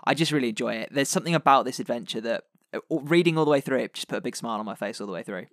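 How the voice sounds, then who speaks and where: A man's voice: mid-range voice, racing, fairly bright tone, no room sound, clean studio sound. A man from England with an English accent, talking casually as a podcast host discussing tabletop games.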